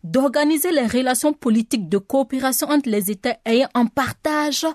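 A woman arguing assertively on a debate panel, her voice a touch high and recorded dry. French is not her first language, which is an African language, and she delivers sharp criticism. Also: normal speed, no room sound. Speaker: panel guest. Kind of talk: debate argument.

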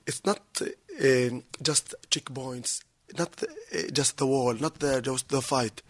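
Halting husky young man. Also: medium pitch, dry recording. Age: 20s. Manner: halting, searching for words. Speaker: young actor.

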